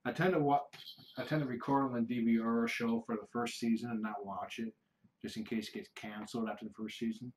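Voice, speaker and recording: A middle-aged man from the United States answering viewer questions on a casual livestream, rambling and halting with frequent restarts. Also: medium pitch, fairly even intonation, a little mellow, rough recording. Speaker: kitten foster livestreamer.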